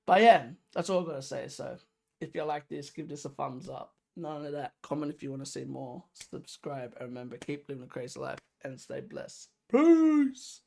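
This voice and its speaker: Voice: medium pitch, big pitch swings, normal speed, creaky, darkish tone. A reaction video host giving casual, slangy music commentary, emphatic and repetitive, often throwing out rhetorical questions. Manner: informal, slangy.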